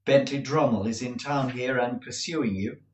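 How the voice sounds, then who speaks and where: A man's voice: medium pitch, normal speed, darkish tone, recorded from a distance, slight background noise, close to an everyday voice. A man reading aloud as an earnest young man who pleads in a trembling, devoted way. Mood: pleading. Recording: roomy echo.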